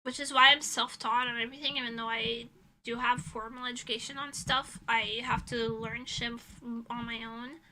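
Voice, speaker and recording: A woman's voice: on the high side, fairly even intonation, normal speed, bright, forceful tone, a little hiss or hum, rough recording. A woman livestreamer chatting casually with her viewers in a rambling, blunt way, swearing freely. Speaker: livestreamer, illustrator. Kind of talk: casual livestream chat, reading and answering chat messages.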